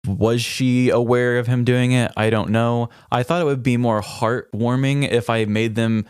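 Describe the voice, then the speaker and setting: A man's voice: medium pitch, steady tone, unhurried, darkish tone, clear, resonant tone, no echo, studio quality. A man co-hosting a podcast in casual, humorous chat, telling stories playfully and quoting others as he goes. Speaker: podcast co-host.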